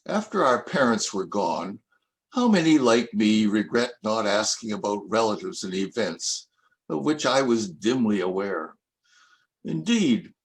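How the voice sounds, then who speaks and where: An older man reading his own rhyming poems aloud in a measured, reflective recitation. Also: mid-range voice. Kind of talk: poetry reading.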